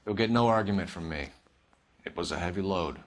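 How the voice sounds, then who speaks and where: An actor playing an earnest, by-the-book 1940s American police detective in a video game, questioning in a firm, clipped, formal way. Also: male voice, low-pitched, a little fast, close to an everyday voice. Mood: measured. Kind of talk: video game cutscene acting, police interrogation.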